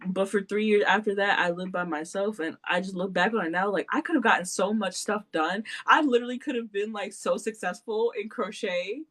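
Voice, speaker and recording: A woman's voice: mid-range voice, brisk, hardly any pauses, a bit bright. A woman in her late twenties chatting casually as a podcast host, self-deprecating and laughing often. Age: 20s. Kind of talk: casual chat.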